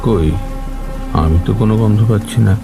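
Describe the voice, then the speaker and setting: Audio horror drama: mysterious male passenger, acted by a man, deep-set, terse and ominously calm, over rain and prominent music. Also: moderate pace, gravelly. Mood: flat, calm. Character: mysterious calm male stranger on a night train. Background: music, rain and thunder.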